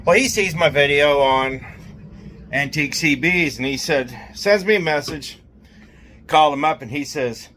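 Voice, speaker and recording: A man's voice: on the high side, moderate pace, pushed and bright, slight background noise. A man hosting a hands-on repair video in a casual, folksy, jokey way with colloquial wording. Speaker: host of a CB radio repair video.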